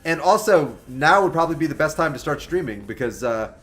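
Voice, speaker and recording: A man in his twenties talks casually with his live chat as he plays a game. His voice sits a little high and swings up and down a lot. He answers viewers by name with a joking, animated manner. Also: a little fast, soft background music. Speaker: game streamer. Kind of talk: casual livestream commentary, chatting with viewers.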